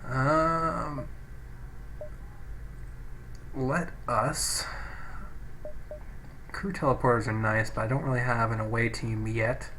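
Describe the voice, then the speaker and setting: A man giving casual, sweary video game commentary as a let's play host, thinking out loud, recorded on a poor-quality microphone. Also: mid-range voice. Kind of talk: casual gameplay commentary with read-out game text. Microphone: low-quality home microphone. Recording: noisy cheap microphone, poor audio.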